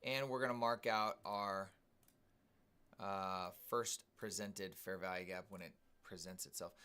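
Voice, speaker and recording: A man hosting a live day trading stream, calmly commenting on his trades and greeting viewers in the chat. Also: sits low, a little slow, clean tone. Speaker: day trading livestream host.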